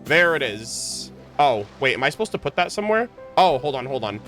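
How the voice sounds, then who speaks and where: A man's voice: mid-range voice, big pitch swings, a little fast, a bit bright. A man doing casual, rambling livestream game commentary, informal and stumbling a little when he reads aloud. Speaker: game streamer. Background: video game music.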